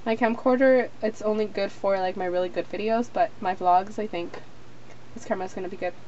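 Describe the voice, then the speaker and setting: A woman's voice: mid-range voice, fairly even intonation, a little fast, darkish tone. A young American woman vlogging in a casual, rambling way, talking into a handheld camera at home. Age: young adult. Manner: informal, rambling, frequent "like". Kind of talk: casual chatty vlog. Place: small rooms at home, closet and bedroom. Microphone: handheld camera at arm's length. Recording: dull, noisy handheld camera audio.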